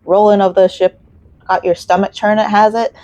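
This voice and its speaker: A woman's voice: medium pitch, flat delivery, normal speed, dark tone, dry recording, pitched above the performer's own voice, close to an everyday voice. A woman reading out a friendly sailor's game dialogue in her own plain voice, without an accent. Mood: reading aloud.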